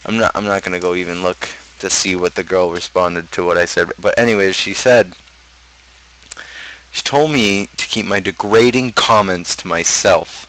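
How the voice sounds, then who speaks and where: A man's voice: sits low, fairly even intonation, moderate pace, a bit bright, low-quality recording. A young adult man chatting casually on a livestream, rambling and opinionated as he rants. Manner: opinionated, ranting. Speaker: livestream host.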